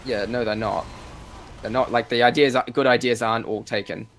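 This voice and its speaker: A man's voice: mid-range voice, steady tone, brisk, fairly bright tone. A man with a New Zealand accent, chatting casually on a live gaming stream, rambling and restarting his sentences. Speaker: streamer and video creator.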